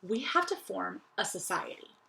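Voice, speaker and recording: A woman's voice: mid-range voice, big pitch swings, normal speed, creaky, heavy background noise. A woman voicing a bold, curious young girl doll in a children's story, with an eager, wide-eyed manner. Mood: decisive, excited. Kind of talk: children's audiobook character voice.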